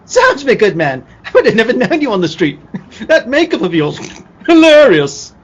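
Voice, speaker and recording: A man playing a pompous, dramatic gentleman sidekick in an old-time radio play, full of old-fashioned exclamations. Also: very high voice, huge pitch swings, normal speed, exaggerated voice. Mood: effusive. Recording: muffled video-call audio with faint noise.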